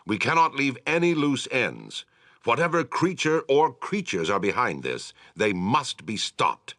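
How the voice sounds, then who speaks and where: An actor playing a grave, commanding town war leader in a fantasy video game, speaking in a measured, formal, authoritative way. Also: male voice, mid-range voice, wildly swooping pitch, a bit bright, no echo, clean studio sound, exaggerated voice. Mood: grateful, resolute. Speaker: quest-giving military commander. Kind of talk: video game character acting, formal dialogue.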